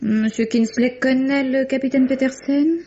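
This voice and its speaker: An actress playing a haughty, tart secretary in a French radio mystery, cool and dismissive in manner. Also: female voice, sits high, steady tone, moderate pace, warm tone. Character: haughty, tart female secretary guarding the boss. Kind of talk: French detective radio drama acting. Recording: dull, band-limited vintage radio recording.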